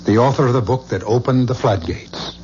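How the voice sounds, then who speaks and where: An actor playing a troubled man haunted by visions of a killer, intense and halting when shaken, in a 1970s radio drama. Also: mid-range voice, a little mellow, lightly acted. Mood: urgent. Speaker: lead character. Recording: dull lo-fi radio broadcast with faint hiss.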